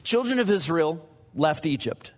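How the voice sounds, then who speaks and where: A man, a pastor leading a prayer and then teaching the Bible in a halting, conversational way with many fillers. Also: expressive. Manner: conversational, anecdotal. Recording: boomy mic with long reverb.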